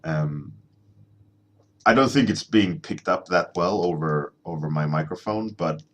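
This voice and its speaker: A man in his thirties from Sweden with a deep voice, chatting casually and rambling as he streams himself drawing, answering his chat at a desk microphone. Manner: rambling, halting, many ums and restarts, chuckling, answering chat. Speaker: artist streaming a drawing session.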